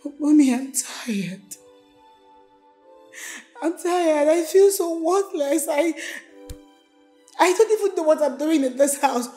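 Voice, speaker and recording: A woman's voice: high voice, animated, slow, pauses often, mellow, soft background music. A young woman playing a desperate, defiant daughter with a Nigerian accent in an emotional film drama. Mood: weary pleading. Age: young adult. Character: desperate, defiant young daughter married off against her will. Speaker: actress.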